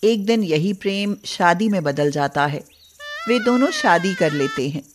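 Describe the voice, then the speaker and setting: A woman's voice: lowish voice, lively intonation, a little slow, background noise. A woman reading scripted narration for moral bedtime stories as the storyteller.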